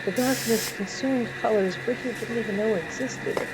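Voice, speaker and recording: A woman's voice: on the high side, normal speed, very noisy. A woman narrating scripted fiction in a dramatic, wry storytelling manner.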